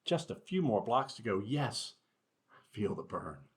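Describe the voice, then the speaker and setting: A worried male sloth from a picture book, read by a man, with a husky American voice. He is slow, anxious and pokes fun at himself. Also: fairly low pitch, very animated, exaggerated voice. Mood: determined. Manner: worried, self-deprecating.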